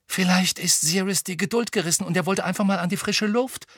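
A man's voice: sits high, unhurried, no room sound, studio quality, pitched above the performer's own voice. A man voicing an uneasy, hesitant teenage wizard boy in an audiobook, in a subdued, tentative manner. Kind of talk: audiobook character acting.

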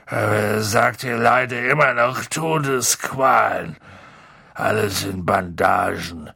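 A huge storybook gamekeeper, tipsy and full of feeling. The voice is gravelly and rough, with slurred, folksy speech that drops words. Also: male voice, fairly low pitch, slow pace, sharp tone, dry recording, clean studio sound, full character voice. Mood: dejected. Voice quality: gruff, slurred. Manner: colloquial, dropping words, swinging into bellows. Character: huge, tipsy, emotional male gamekeeper, gruff and loving.

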